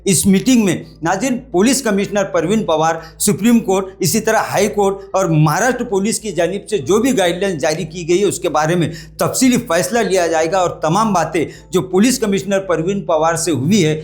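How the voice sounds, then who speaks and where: A man's voice: high voice, brisk, few pauses. A man from India presents a news report as anchor, formally addressing the viewers.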